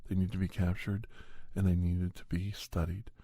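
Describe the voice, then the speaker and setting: A man with a deep, mellow voice narrates a horror tale as ASMR. His delivery is measured and halting, like a scientist giving his account, and the texture is husky and breathy. The sound is close and dry. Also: level tone, dry recording. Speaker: ASMR storyteller and podcast host. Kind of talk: ASMR first-person horror story narration.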